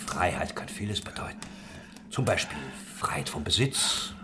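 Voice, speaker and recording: A man's voice: sits low, expressive, normal speed, noisy background. An actor playing a worldly, idealistic craftsman who leads a religious commune, musing and talkative, in a German historical radio drama. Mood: earnest, idealistic.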